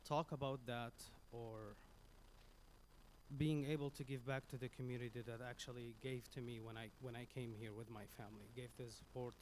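A man from Syria with a Syrian Arabic accent, Arabic his first language, giving an earnest, halting public speech into a stage microphone as a community speaker. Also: medium pitch, steady tone, a little mellow, a little hiss or hum, low-quality recording. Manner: earnest, halting, with many restarts.

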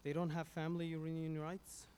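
A man from Syria with a Syrian Arabic accent, Arabic his first language, giving an earnest, halting public speech into a stage microphone as a community speaker. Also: fairly high pitch, monotone, darkish tone, slight background noise. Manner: earnest, halting, with many restarts.

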